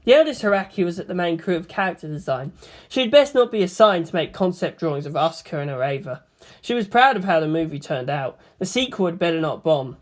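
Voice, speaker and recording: Female narrator, lower register, scripted fiction reading. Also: animated, quick pace.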